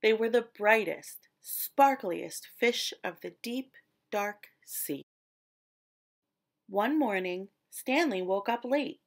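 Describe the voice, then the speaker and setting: A woman storyteller narrating a children's picture book expressively, with deliberate, dramatic emphasis on descriptive words. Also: medium pitch, animated. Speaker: read-aloud storyteller.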